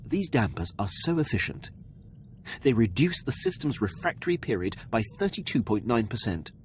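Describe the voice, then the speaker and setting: A man's voice: mid-range voice, sing-song, normal speed, a little hiss or hum, lightly acted. An actor playing a devoted male military officer on a starship in a video game, speaking in a formal, precise, earnest way. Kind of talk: scripted video game dialogue.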